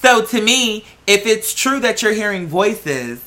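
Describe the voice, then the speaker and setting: An American vlog host chatting casually and emphatically, with slangy, sweary, animated rambling. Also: medium pitch, measured pace. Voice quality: slangy speech peppered with "girl" and swearing. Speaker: host of a talk show vlog. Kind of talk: casual opinionated solo chat.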